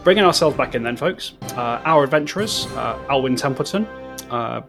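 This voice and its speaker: A man narrating a tabletop roleplaying session as its game master, mid-pitched, stumbling and repeating words as he sets the scene. Faint music plays underneath. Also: expressive, a little fast, creaky. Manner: stammering, repeats words, self-deprecating.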